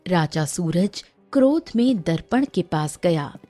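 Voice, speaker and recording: Slow, mellow woman storyteller. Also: fairly low pitch, animated, soft background music. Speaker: story narrator. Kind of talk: scripted children's story narration.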